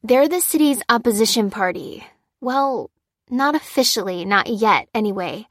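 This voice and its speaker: A woman's voice: fairly high pitch, lively intonation, moderate pace, no echo, studio quality. A woman voicing a frank, probing female friend who talks casually and with opinions in an audiobook. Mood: matter-of-fact, impressed.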